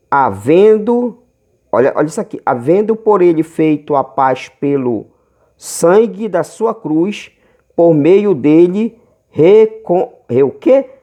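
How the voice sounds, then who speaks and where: A man with a Brazilian accent preaching in a home Bible-teaching video, reading verses slowly and stretching key words, calling listeners brothers. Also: fairly high pitch, lively intonation, dark tone. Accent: Brazilian Portuguese. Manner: fervent, calls listeners brothers often.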